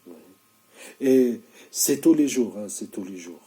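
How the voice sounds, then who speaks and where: A man's voice: lowish voice, lively intonation, slow, dark tone. A sleep-deprived man in his thirties talking straight to camera, insistent and repetitive, stumbling and restarting often. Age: 30s. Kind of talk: casual video monologue.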